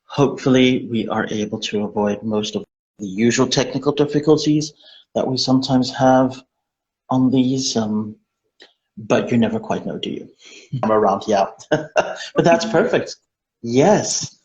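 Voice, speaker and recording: A man's voice: mid-range voice, a little slow, long pauses, darkish tone. A man hosting an online interview over a video call, chatty and effusive, with many fillers and restarts. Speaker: interview host. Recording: dull, echoey video call.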